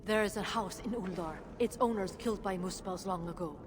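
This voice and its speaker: A woman's voice: mid-range voice, fairly quick, close to an everyday voice. A woman playing a weary, bitter dwarf leader in a video game, guarded, sardonic and solemn. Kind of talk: dramatic video game voice acting. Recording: long reverberant hall, noisy ambience.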